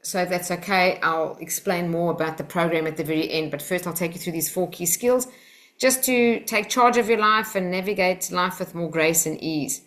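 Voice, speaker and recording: A woman's voice: lowish voice, moderate pace, fairly bright tone. South African woman leading a webinar. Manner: encouraging, direct, chatty. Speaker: Silva Method instructor. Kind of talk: hosting a webinar over a video call. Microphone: video call.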